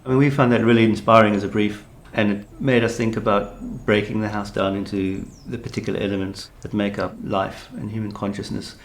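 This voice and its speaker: A man, an architect, speaking in a measured and articulate way about a design brief. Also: lowish voice, flat delivery. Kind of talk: reflective conversational chat.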